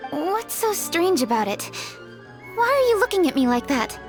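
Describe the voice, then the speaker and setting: A proud, serious young lady in an anime game. Her voice is high, and her delivery is formal and composed with a touch of awkwardness. Soft music sits behind her. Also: big pitch swings. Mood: awkward, defensive. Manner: formal, composed, measured wording. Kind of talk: anime-style video game voice acting.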